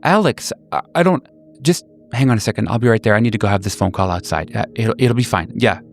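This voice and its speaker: Man playing a wounded, exasperated gravelly ex-lover. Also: on the low side, faint music behind. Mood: flustered, firm. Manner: pleading, emphatic, halting. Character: wounded, exasperated former lover, a man, firmly refusing reunion.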